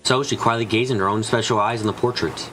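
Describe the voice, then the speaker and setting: A man's voice: lowish voice, steady tone, fast talker, a bit bright, faint music behind, rough recording. A man reading scripted story narration as the narrator of a fan-dubbed visual novel.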